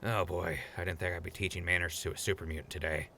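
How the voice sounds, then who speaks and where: A wry, sardonic ghoul played by a man, low in pitch and quick on his feet in speech. He needles like a sensible friend in a post-apocalyptic audio drama, casually and brightly, with faint noise behind. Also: steady tone. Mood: exasperated, coaxing.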